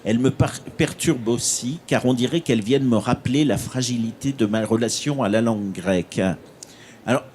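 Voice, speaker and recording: Male panel moderator with a mid-pitched voice who halts and fills gaps. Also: fairly quick, darkish tone, slight background noise. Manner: hesitant, fills with "euh". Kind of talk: conference moderation.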